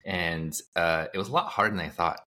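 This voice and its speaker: Low-voiced man, bright and rambling. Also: a little fast. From United States. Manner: relaxed, rambling with many fillers and self-corrections. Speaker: startup founder, guest. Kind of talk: casual conversational interview.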